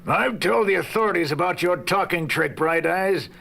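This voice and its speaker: An actor playing a brash, excitable young male ape in a record audio drama, with a gravelly voice. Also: high-pitched, normal speed, full character voice. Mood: gloating.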